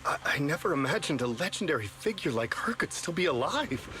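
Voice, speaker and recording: A man's voice: on the high side, big pitch swings, brisk, noisy background. A man voicing an awed, bewildered bystander in an anime game, hesitant and dramatic.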